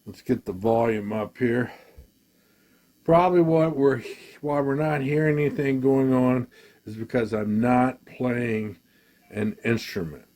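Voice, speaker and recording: A man giving a casual, halting gear demo, thinking aloud as a musician at work. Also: medium pitch, darkish tone. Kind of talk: casual product demo talk.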